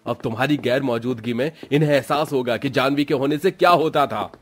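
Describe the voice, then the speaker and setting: A man's voice: medium pitch, a little fast, a little hiss or hum, close to an everyday voice. A man voicing an indignant, confrontational husband defending his wife in a moral cartoon story. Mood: resolute. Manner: stern, confrontational.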